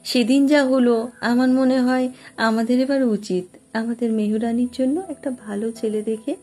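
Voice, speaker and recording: A woman's voice: a little high, fairly even intonation, moderate pace, mellow, soft background music, close to an everyday voice. A woman voicing a concerned, motherly middle-aged housewife in an audio story. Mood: reasoning, chiding. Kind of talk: Bengali audio story acting.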